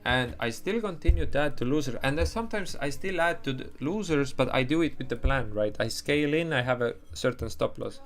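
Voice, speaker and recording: A man's voice: mid-range voice, expressive, a little fast, hardly any pauses. Man with an Estonian accent on a livestream. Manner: rambling, swears, frequent restarts. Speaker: livestream trader. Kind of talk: casual livestream chat.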